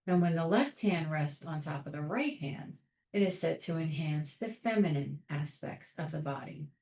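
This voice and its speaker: A woman leading a guided meditation in a calm, soothing, measured way, leaving long pauses between short phrases. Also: low-pitched, roomy sound. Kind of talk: guided meditation, soft instruction.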